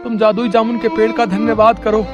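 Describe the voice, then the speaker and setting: Man as an earnest high-voiced village seller. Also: little pitch movement, brisk, natural voice. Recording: music under the voice.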